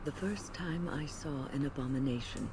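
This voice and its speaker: A woman playing a grave, wise older female mage in a video game, measured and reflective. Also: low voice. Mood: grave, haunted. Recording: game audio with clear background noise.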